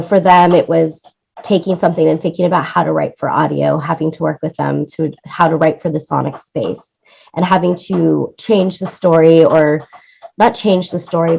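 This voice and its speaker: A woman's voice: low-pitched, vocal fry, darkish tone, breathy edge. A woman giving conversational interview answers as a guest, explaining things and often checking in with "right?" or "you know". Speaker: interview guest, music supervisor and producer. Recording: dull, lo-fi audio.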